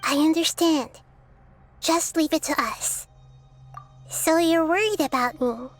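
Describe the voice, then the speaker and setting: A woman voicing a small, wise girl deity in a video game, kind, thoughtful and gently reassuring. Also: high voice, slow pace, soft background music.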